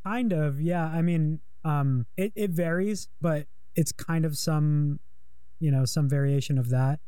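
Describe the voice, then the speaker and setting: A man's voice: a little high, unhurried, mellow, clean tone, dry recording. A young man in casual conversation, talking in a rambling, hesitant way with many fillers while interviewing a guest.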